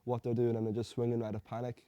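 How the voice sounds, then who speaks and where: A young man from Hungary, an amateur fighter telling his story in a relaxed, rambling way. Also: medium pitch, fast, no room sound, lo-fi sound. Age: young adult. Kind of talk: casual interview answers.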